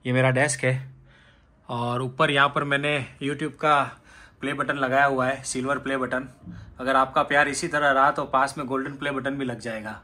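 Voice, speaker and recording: Man, thirties, from india, chatty vlogger, phone camera in an apartment, mid pitch. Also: level tone, normal speed, sharp tone. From India, raised in Rajasthan. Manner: chatty, guiding viewers room by room. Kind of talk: casual house tour vlog. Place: apartment, indoors.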